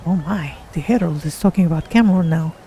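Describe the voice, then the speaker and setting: A curious, composed cat-folk adventurer in a fantasy game, put on by a streamer. The voice is gravelly and dark in tone, with polite, measured phrasing. Faint game noise sits behind it. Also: mid-range voice, close to an everyday voice. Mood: curious, musing. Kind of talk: in-character game stream roleplay.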